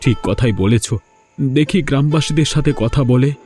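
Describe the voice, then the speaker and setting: A troubled village farmer from a cartoon moral tale, played by a man. His mid-pitched voice grumbles and presses each complaint. Soft plucked-string music sits behind him. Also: moderate pace, close to an everyday voice. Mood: worried. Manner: complaining, emphatic. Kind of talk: cartoon moral-story voice acting.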